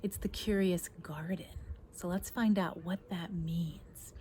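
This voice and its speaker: A woman reads a picture book aloud expressively, pausing to put guiding questions to young listeners. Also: lowish voice, steady tone, dark tone. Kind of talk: expressive children's read-aloud with asides. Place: outdoors in a backyard garden. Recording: outdoor recording, faint noise, birdsong. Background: birdsong, faint outdoor noise.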